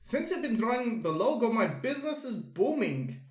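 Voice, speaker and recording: A man's voice: very high-pitched, very animated, normal speed, pitched above the performer's own voice. Man doing a friendly Russian-accented shopkeeper, echoey. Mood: friendly grateful. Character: friendly shopkeeper with a Russian-tinged voice, grateful customer. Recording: echoey room.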